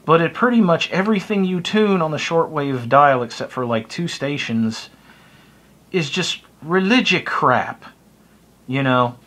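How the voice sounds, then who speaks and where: A man's voice: a little high, expressive, a little slow, a bit bright. A middle-aged American man talking casually and bluntly to camera, with a wry, opinionated manner. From United States. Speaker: hobbyist video blogger. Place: small indoor room by a window. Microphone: phone or webcam close to the face.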